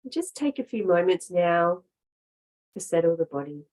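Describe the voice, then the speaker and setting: A woman with a fairly low voice leads a guided relaxation as a yoga teacher. She gives measured instructions in short phrases, leaving long pauses between them. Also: very animated, darkish tone.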